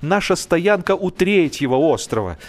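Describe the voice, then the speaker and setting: Comic audio story role: a male reader as an eager, muddled gentleman friend, voice pitched up. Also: very animated, moderate pace. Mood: excited. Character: excitable, pensive Englishman, friend on a boating trip.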